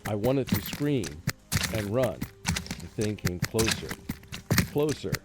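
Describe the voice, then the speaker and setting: A man playing a frightened college professor in a radio horror drama, with a brooding, halting, dramatic delivery. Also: fairly low pitch, expressive, heavy background noise. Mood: rising terror. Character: frightened haunted male college professor recounting a curse. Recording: music under the voice.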